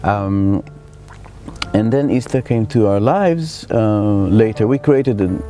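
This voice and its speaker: A middle-aged man from Israel with an Israeli accent, telling his life story in a reflective, halting way as he searches for words. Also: fairly low pitch, warm tone. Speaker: spiritual teacher. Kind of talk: personal life story told to camera.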